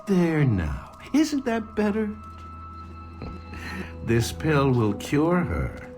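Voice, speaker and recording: An actor playing a calculating middle-aged corporate villain in a game cutscene, speaking in a measured, condescending way with controlled menace. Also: male voice, fairly high pitch, huge pitch swings, music in the background, exaggerated voice. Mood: smooth persuasion. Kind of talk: dramatic game cutscene acting.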